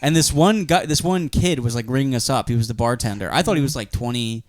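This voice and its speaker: A man's voice: mid-range voice, fast talker, no echo, studio quality. A young adult man, co-hosting a podcast, telling a rambling, profane story and acting out the quotes. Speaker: podcast co-host. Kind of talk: casual comedic chat.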